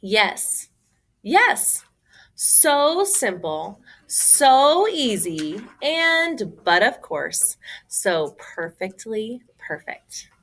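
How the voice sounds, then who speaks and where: A woman reading a children's picture book aloud as a storyteller, with an expressive, emphatic, theatrical delivery. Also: a little high, extremely slow.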